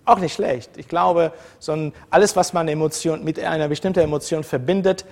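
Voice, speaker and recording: A man's voice: fairly high pitch, fairly even intonation, a little fast, vocal fry, a little mellow. A man from the former Soviet Union, a university lecturer who speaks informally to his students, wandering off topic with frequent fillers and restarts. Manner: digressive and conversational, many fillers and self-corrections.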